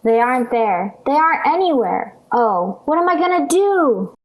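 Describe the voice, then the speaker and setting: A woman's voice: high-pitched, sing-song, normal speed. A woman playing a dramatic, cheeky little schoolgirl daughter in a 1940s radio sitcom. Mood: fretful. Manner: whiny, overdramatic.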